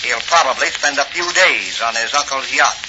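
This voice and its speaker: An actor playing a ruthless, commanding American gangster boss in 1940s radio crime drama style, with slangy tough talk. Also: male voice, mid-range voice, normal speed, close to an everyday voice. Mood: scheming, in control. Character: ruthless male gangster racket boss, coolly menacing. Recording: muffled old radio recording, loud crackling hiss.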